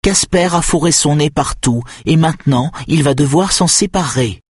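A man's voice: on the high side, normal speed, husky, dry recording, studio quality, pitched above the performer's own voice. A man voicing a cruel, weary, domineering woman who demands family obedience, with a stern, accusing manner in an audiobook. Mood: coldly commanding. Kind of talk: audiobook character acting.

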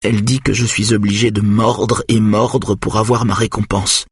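A man's voice: fairly low pitch, flat delivery, moderate pace, slightly rough, dry recording, studio quality, voice pushed up. A man voicing a panicked boy under hypnosis. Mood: dazed. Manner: frantic, breathless, rising panic.

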